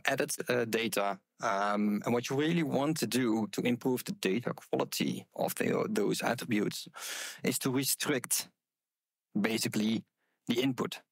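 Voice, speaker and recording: A man's voice: lowish voice, vocal fry, no room sound. Hesitant man giving a conference talk. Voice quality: loud voice. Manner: hesitant, many ums and uhs. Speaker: conference speaker. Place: conference room with audience. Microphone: headset mic.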